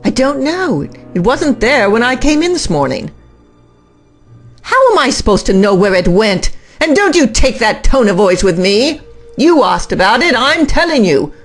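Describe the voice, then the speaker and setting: Woman playing an imperious, animated boss. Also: on the high side, moderate pace, faint music behind. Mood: irritated. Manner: commanding, clipped. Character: imperious, impatient woman in charge at a university. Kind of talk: audio drama acting.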